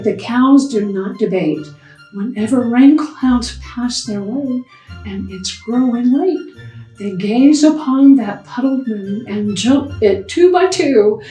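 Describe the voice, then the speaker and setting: Female children's storytime reader: high voice, slow delivery, engages young listeners with questions, recorded at a distance. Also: soft background music. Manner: expressive, prompting listeners with simple questions. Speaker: children's librarian hosting storytime. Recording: roomy echo, distant microphone.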